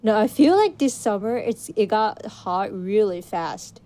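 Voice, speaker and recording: A woman from Japan, speaking, co-hosts a casual podcast in a mellow, warm voice. She is chatty and likes to playfully contradict. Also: medium pitch, lively intonation, measured pace. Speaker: podcast co-host.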